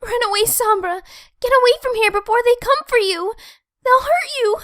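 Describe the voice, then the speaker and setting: A woman's voice: very high-pitched, moderate pace, fairly bright tone, no echo, studio quality. A woman voicing an anxious, kind-hearted young pony healer in a cartoon comic dub, earnest and pleading. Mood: frightened pleading.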